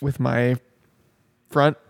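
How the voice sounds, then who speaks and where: A man's voice: mid-range voice, little pitch movement, extremely slow, gravelly, clean tone, dry recording, studio quality. A young man from East Texas, a guitarist and singer, chatting on a podcast in an easygoing, wry way. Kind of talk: casual podcast chat.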